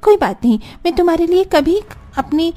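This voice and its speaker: A woman's voice: very high-pitched, big pitch swings, fairly quick, warm tone. A woman playing a jealous, stubborn young elder daughter-in-law in a dramatic, emphatic way for a Hindi cartoon story. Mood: boastful, sweetly condescending.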